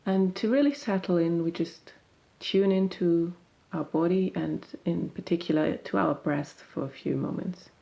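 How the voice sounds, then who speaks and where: Low, mellow woman guiding a meditation. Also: vocal fry. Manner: gentle, unhurried, soothing instruction with long pauses. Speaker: meditation guide. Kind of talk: guided meditation.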